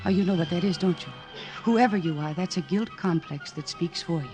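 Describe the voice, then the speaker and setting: A woman's voice: fairly low pitch, brisk, natural voice. Actress as earnest woman psychoanalyst, old radio drama. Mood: earnest, insistent. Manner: earnest, insistent. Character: devoted, determined woman psychoanalyst in love with her patient. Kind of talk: 1940s radio drama acting. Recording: vintage radio broadcast with orchestral music.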